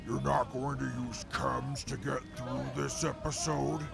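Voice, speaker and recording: A man acting in an audio drama as a pompous, smug, mocking sidekick with gravelly, formal, wordy speech. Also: mid-range voice, flat delivery, music in the background. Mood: smug, gloating. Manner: pompous, formal, drawn-out, verbose.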